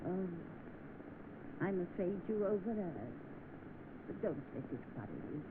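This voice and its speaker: Kindly working-class landlady, very animated pitch, 1930s film acting, phone-like old soundtrack. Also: measured pace. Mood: kindly, reassuring. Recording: noisy narrow-band old film soundtrack.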